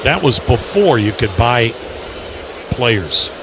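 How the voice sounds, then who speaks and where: An American man calling live baseball on the radio, mixing measured storytelling with clipped pitch calls. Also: very animated. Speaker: baseball radio play-by-play announcer. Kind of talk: live commentary with conversational asides. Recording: clearly noisy ballpark broadcast.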